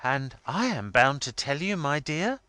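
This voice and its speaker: A man's voice: fairly high pitch, very animated, measured pace, bright, forceful tone, no room sound, pitched above the performer's own voice, heavily acted. A man voicing a submissive middle-aged father in a storybook, mild and conciliatory in manner. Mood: meek appeasement.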